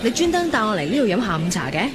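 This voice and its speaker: A lively young female student, played by a woman in a radio drama, speaking at a middle pitch over clear crowd chatter. She is expressive and playful, quick to defend herself, with a wistful streak. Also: close to an everyday voice. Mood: curious, cheerful. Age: young adult. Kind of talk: Cantonese radio drama acting.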